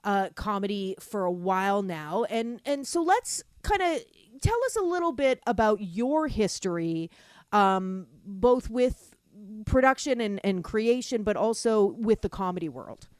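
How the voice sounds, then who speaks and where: A woman hosts a radio interview in a dry, close studio sound. Her pitch sits in the middle range. She asks long, loose questions full of fillers, in a friendly, chatty way. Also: sing-song, a little slow, clean tone, dry recording. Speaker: radio host. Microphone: studio microphone.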